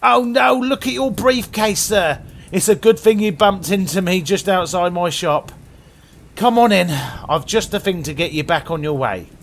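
A man's voice: sits high, moderate pace, a bit bright, slight background noise, pitched above the performer's own voice. A man voicing a flustered, eager antique shopkeeper who exclaims deferentially in a horror story. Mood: flustered, apologetic. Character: flustered, eager antique shopkeeper, respectable gentleman, slightly sly.

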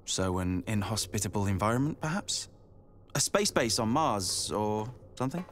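Man acting a curious young man, unsure, audio drama, varied pitch, faint background noise. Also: animated, moderate pace, close to an everyday voice. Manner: hesitant, inquisitive.

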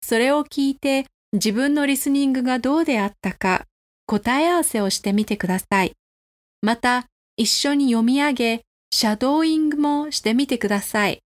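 A woman presents a podcast for language learners from a prepared script. Her voice sits fairly high and she moves along at a fast pace.

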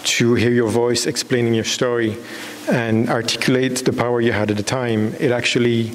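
A man in his thirties from Belgium, a documentary filmmaker, giving a halting, unscripted answer on stage with repeated false starts. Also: medium pitch, little pitch movement. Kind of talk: unscripted answer at a public talk. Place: on stage in a large dark hall with an audience. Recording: echoey hall with clear background hiss.

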